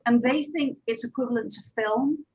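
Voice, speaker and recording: A woman speaking as a producer on a panel, explaining things step by step with hesitant pauses. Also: a little high, darkish tone, low-quality recording. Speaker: audio drama producer and director, panelist.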